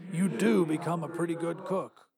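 Casting: male narrator as burnt visitor from the future in an audio short story. Raspy, blunt, wry, urgent, lifted pitch. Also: steady tone, moderate pace, crowd noise. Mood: wry, resigned. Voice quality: a bit raspy. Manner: blunt, colloquial, nagging.

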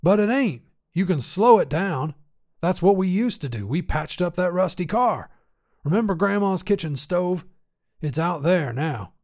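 A man's voice: sits high, lively intonation, measured pace, a little mellow, pitched above the performer's own voice, heavily acted. A man voicing a cantankerous old grandfather, a folksy tinkerer who grumbles emphatically in a story reading. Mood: defiant. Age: older. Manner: folksy, grumbling, emphatic, rural turns of phrase. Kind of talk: acted character dialogue in short fiction reading.

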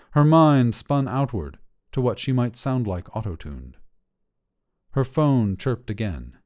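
A man reading short fiction as a podcast narrator, in a measured, careful way. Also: medium pitch, a little mellow.

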